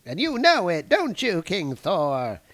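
A man's voice: sits high, huge pitch swings, slow pace, a bit bright, voice pushed up, heavily acted. A man doing a gloating god-killer villain. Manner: cold gloating.

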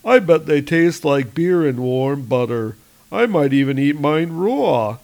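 A man's voice: on the high side, lively intonation, a little slow, a little mellow. A man doing a crude gloating troll. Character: crude hungry male trolls, gloating and brutish.

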